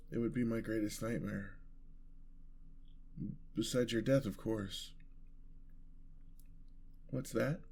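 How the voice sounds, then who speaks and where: Male actor as courtly vampire lover for ASMR roleplay: unhurried, creaky delivery, tender and sentimental. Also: medium pitch, darkish tone. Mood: hesitant, earnest. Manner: formal, flowery, tender old-fashioned phrasing. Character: gentle, lovestruck, world-weary male vampire, courtly and sentimental.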